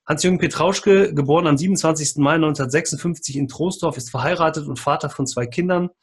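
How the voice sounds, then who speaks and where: A male podcast host with a somewhat high-set voice and a distinctly husky tone. He presents and asks questions in the manner of an interview show, part scripted, part spoken freely. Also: quick pace.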